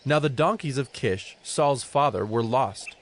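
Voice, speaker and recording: A man's voice: medium pitch, a little slow. A man narrating a dramatized scripture reading from a script.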